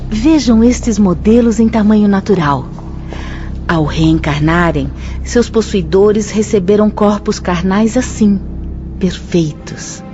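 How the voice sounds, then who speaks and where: An actress playing a solemn spirit instructor who sermonizes formally and reprovingly in a radio drama. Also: female voice, medium pitch, slow pace, close to an everyday voice. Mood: instructive. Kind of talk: radio drama acting, didactic. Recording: muffled lo-fi audio with loud music.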